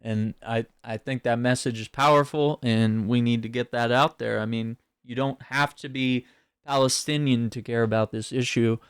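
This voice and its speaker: A man talks through political clips in a loose, offhand manner, stumbling and repeating his words as he reacts. His voice is smooth and resonant, caught close and dry with no room sound, and he sits at an ordinary middle pitch. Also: fairly even intonation, moderate pace, dry recording. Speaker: political commentary host. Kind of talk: casual reaction commentary.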